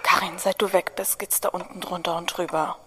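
A woman's voice: fairly low pitch, flat delivery, a little fast, a bit bright, a little hiss or hum, voice pushed down, natural voice. A woman playing a gossipy, flustered female office worker in a comedy sketch, chummy and conspiratorial.

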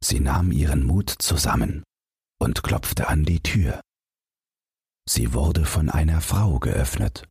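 A man's voice: extremely low pitch, expressive, slow, a little mellow, husky, dry recording, studio quality. A man narrating a bedtime fairy tale as a scripted audiobook.

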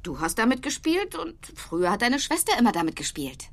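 A woman's voice: fairly high pitch, very animated, fairly quick. A woman playing an everyday mother in a children's audio drama. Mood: casual, dismissive.

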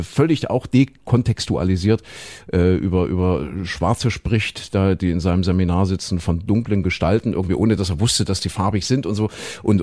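A man co-hosting a podcast while he has a cold, talking earnestly in a casual discussion, often halting with fillers and repeated words. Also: deep voice, a little mellow, no echo, clean studio sound. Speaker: podcast co-host.

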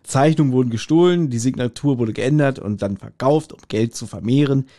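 A man's voice: mid-range voice, a little fast, dark tone. A man chatting casually as a podcast host, retelling stories informally. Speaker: podcast host reviewing audio dramas. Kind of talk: casual chat.

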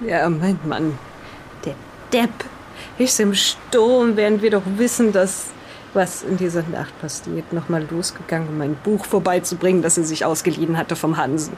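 A woman playing a sharp-tongued, grumbling island widow in an improvised audio drama. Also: lowish voice, normal speed, a little hiss or hum, natural voice. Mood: grumbling, bitter.

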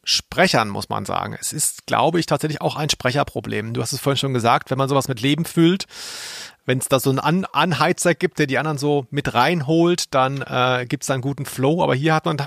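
A man's voice: medium pitch, fairly quick, creaky, a bit bright, no echo, clean studio sound. A male podcast co-host giving casual, humorous commentary, explaining things with ironic understatement.

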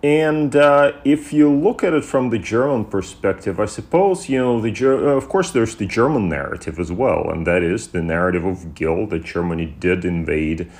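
A man from Russia talks as a historian being interviewed. His voice is very low with a gravelly creak, and he feels his way through sentences, repeating words as he goes. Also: animated, moderate pace, few pauses, a little mellow. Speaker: guest historian and political commentator. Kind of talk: conversational expert interview.

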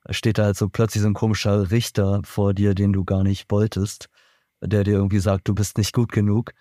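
A man's voice: lowish voice, mellow, dry recording, studio quality. A man from Berlin, a musician being interviewed, answering in a thoughtful, rambling way with many fillers and restarts. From Germany, Berlin. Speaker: band member, musician guest. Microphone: remote podcast recording.

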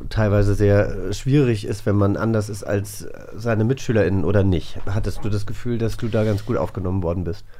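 A man's voice: lowish voice, fairly even intonation, brisk, few pauses, warm tone. A middle-aged man chatting casually as an interviewer, adding his own thoughts before putting his questions. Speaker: podcast host.

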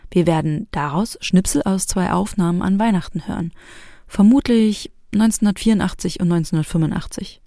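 A middle-aged woman from the former East Germany, hosting a podcast with spoken introductions and relaxed interviewing. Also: fairly low pitch, fairly quick, dry recording, clean studio sound. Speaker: podcast host.

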